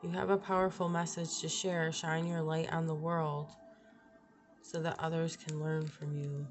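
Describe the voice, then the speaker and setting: A young woman with a slightly raspy voice doing a casual tarot reading, thinking aloud, trailing off and restarting her sentences. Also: deep voice, flat delivery, unhurried, faint music behind. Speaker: tarot reader. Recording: audible background noise.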